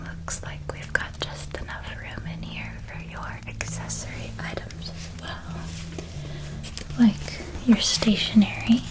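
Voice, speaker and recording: A woman voicing a gentle, doting witch parent in a soft, breathy whispered ASMR roleplay, tender and softly enunciated. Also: fairly high pitch, poor audio. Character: gentle doting witch parent helping a child pack for school.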